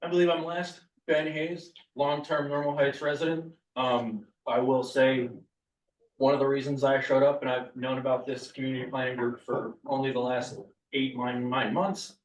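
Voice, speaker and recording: A local resident giving a blunt, frustrated public comment at a community meeting. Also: male voice, mid-range voice, normal speed. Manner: blunt, animated, building frustration. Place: meeting room. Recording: echoey room, distant mic, dull sound.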